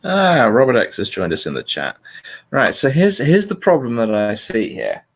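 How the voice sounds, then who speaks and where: A man's voice: medium pitch, huge pitch swings, normal speed, vocal fry, breathy edge. A man hosting a casual livestream political discussion in an analytical way, qualifying his points carefully. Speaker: livestream host.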